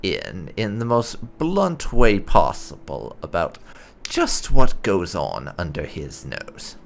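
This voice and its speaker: Brief: male game-roleplay host, wry and opinionated, gravelly low voice, very animated pitch, faint game music behind. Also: slow pace, a little hiss or hum. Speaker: gaming channel host. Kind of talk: roleplay gameplay commentary.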